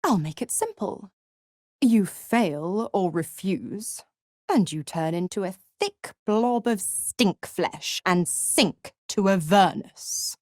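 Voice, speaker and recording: A woman's voice: medium pitch, lively intonation, slow, darkish tone, dry recording, clean studio sound. An actress voicing a seductive, menacing female devil in a video game, theatrical and condescending, savoring each word as she taunts. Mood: cold, threatening. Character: smug, seductive, menacing female devil, cruel mistress of pacts.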